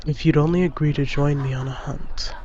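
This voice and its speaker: A man playing a proud alien hunter in a sci-fi audio roleplay, with deliberate, formal phrasing. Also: fairly high pitch, fairly even intonation, darkish tone, lo-fi sound, natural voice. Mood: proud, needling.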